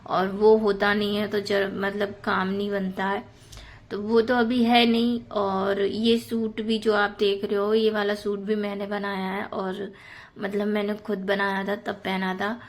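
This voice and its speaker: A woman in her thirties with a hoarse, sore throat, chatting casually to viewers about her housework in a voice-over. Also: mid-range voice, level tone, unhurried. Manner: chatty, many fillers, addresses viewers as friends. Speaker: home vlogger.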